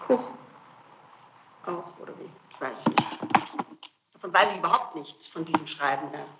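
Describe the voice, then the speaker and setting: A woman's voice: low-pitched, normal speed, very noisy. A middle-aged woman answering interrogation questions, insistent and defensive, repeating her denials, on an old 1960s archival tape. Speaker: suspect being interrogated.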